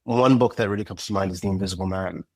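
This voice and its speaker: A middle-aged man with a very low, somewhat husky voice answers interview questions reflectively. He pauses, circles back and restarts phrases as he works through his thoughts. The sound is dry and close. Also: no echo. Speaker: podcast guest, writer and director.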